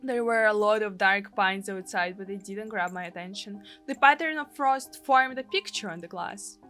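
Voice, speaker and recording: A woman's voice: mid-range voice, normal speed, fairly bright tone, soft background music. A woman from Russia with a Russian accent, a gaming streamer expressively reading a translated visual novel aloud. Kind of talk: let's play, reading a live translation aloud.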